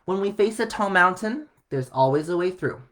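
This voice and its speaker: A man voicing a patient, gentle father in a children's storybook, soft-spoken and encouraging. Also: high-pitched, expressive, normal speed. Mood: comforting.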